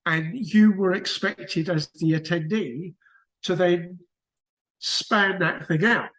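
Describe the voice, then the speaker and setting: A man's voice: sits high, slow, fairly bright tone. Rambling British man in an echoey room. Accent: British English. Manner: rambling, restarts sentences, anecdotal. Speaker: book club participant. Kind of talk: casual discussion on a call.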